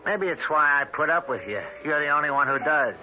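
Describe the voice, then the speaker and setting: An actor playing a cynical, blunt American newspaper editor with a wry manner, in a 1970s radio drama. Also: male voice, fairly high pitch, lively intonation, brisk, over music, lightly acted. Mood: gruff banter. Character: cynical, gruff but bantering male newspaper city editor. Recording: muffled vintage radio broadcast.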